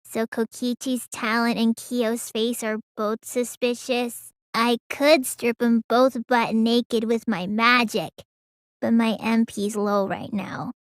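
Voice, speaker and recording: A woman voicing a small, gloomy, stubborn teenage girl mage in an English video game dub, drawling when calm and stammering when upset. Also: fairly high pitch, slow, no room sound, clean studio sound. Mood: sleepy, deadpan.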